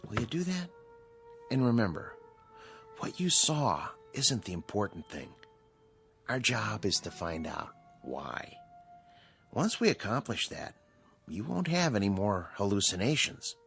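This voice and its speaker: An actor playing a calm, authoritative psychiatrist in a radio drama, speaking in a measured, explanatory way. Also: male voice, on the low side, creaky, faint music behind, full character voice. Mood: firm, probing. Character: calm, authoritative male psychiatrist at a mental hospital.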